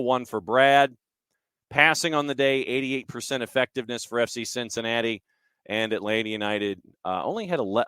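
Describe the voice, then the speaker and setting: A man's voice: medium pitch, a bit bright, clean tone, no room sound. A man hosting a sports show, talking through match analysis and statistics conversationally in short, clipped fragments.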